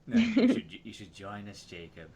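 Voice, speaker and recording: A man hosting casual group banter, playful and excitable in the way he talks. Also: fairly low pitch, moderate pace. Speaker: host of a hobby voice-impression reading.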